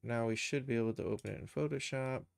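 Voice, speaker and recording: Guy doing a chill live tutorial, creaky voice, mumbling thru his steps as he goes, dry close sound. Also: medium pitch, quick pace, no echo. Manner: informal thinking aloud while working. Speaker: streamer, game developer and animator. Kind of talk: casual live screen-share tutorial.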